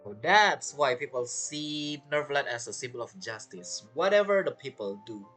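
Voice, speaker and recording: A game streamer commenting casually on a playthrough. The voice sits at a middling pitch and moves up and down a lot, with choppy non-native phrasing over game music. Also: lively intonation, normal speed, fairly bright tone.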